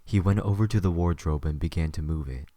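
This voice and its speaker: A man's voice: low-pitched, fairly quick, dark tone, no room sound. A man reading scripted third-person story narration as the narrator of an audio drama.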